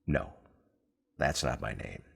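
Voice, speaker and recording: A man voicing a sly, gleeful, bargaining little goblin-like man in a storybook, with drawn-out, insistent, theatrical delivery. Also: very deep voice, animated, vocal fry, fairly bright tone, slightly rough, pitched below the performer's own voice, full character voice. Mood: smug refusal.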